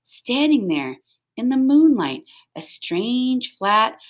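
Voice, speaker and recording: A woman's voice: on the high side, sing-song, slow. A woman reading a children's story aloud as scripted narration, expressively, with dramatic emphasis. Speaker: storybook reader.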